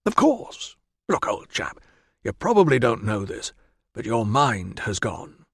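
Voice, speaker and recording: A man voicing a cheery, fussy Roman doctor who talks in a brisk, reassuring way and calls people "old chap". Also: medium pitch, sing-song, slightly rough, no echo, clean studio sound, full character voice. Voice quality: brisk, reassuring, says 'old chap'. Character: cheery middle-aged male Roman doctor, kindly, fussy.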